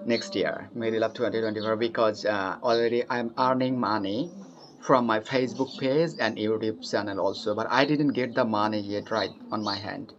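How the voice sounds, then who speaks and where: A young man from Bangladesh with a Bangladeshi accent, chatting eagerly in English practice, often laughing and pausing with fillers. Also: fairly low pitch, fairly even intonation. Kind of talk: casual conversation practice. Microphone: video call. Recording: dull lo-fi call audio.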